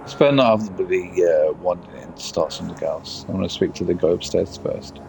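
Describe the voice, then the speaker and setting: A man live-streaming a game, reading the text aloud haltingly and muttering casual asides as he plays. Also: low voice, vocal fry, a little mellow, a little hiss or hum, rough recording. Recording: music behind the voice.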